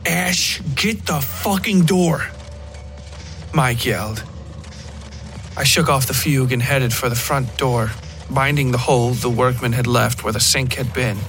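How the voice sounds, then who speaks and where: A man narrates a scripted horror tale for a fiction podcast. His pitch is average for a male voice, and music plays clearly underneath. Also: steady tone, moderate pace, fairly bright tone. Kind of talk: scripted first-person horror story narration.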